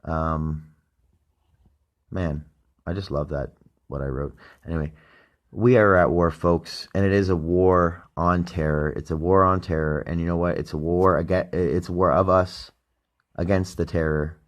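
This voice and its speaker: A deep-voiced Canadian man talks through a loose solo podcast monologue. He rambles and swears freely, his words sometimes sinking into a mutter and then jumping up into loud, emphatic lines. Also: steady tone, unhurried. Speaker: podcast host. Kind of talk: casual late-night solo monologue.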